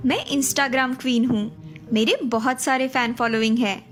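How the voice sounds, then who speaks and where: Cartoon young bride acted by a woman: high voice, vain, self-absorbed influencer type, light music bed. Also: fairly even intonation, a little slow. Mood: boastful. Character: young Indian daughter and bride, vain Instagram-obsessed social media star. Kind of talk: Hindi animated moral story voice acting.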